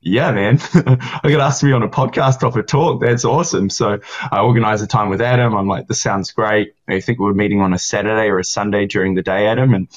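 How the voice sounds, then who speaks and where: Lively New Zealand man on a call. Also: fairly low pitch, a little fast. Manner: animated, laughing through his story, gesturing. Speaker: software co-founder, guest. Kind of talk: casual storytelling. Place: small room at home. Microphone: video call webcam. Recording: dull lo-fi call audio.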